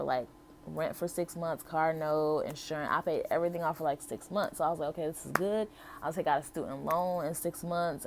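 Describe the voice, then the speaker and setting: A woman's voice: low-pitched, darkish tone, slight background noise. A young American woman tells a personal story casually to her viewers, in a candid, conversational way with emphatic repetition. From Buffalo, New York, and Las Vegas, United States. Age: young adult. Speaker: vlogger telling her life story.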